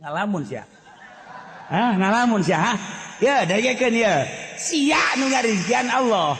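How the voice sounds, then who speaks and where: A man's voice: high-pitched, animated, takes it slowly, background noise, pitched above the performer's own voice. A man voicing a short-tempered, bullying religious teacher who scolds and orders his student around in coarse words. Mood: insistent scolding. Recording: echoey hall with long reverb.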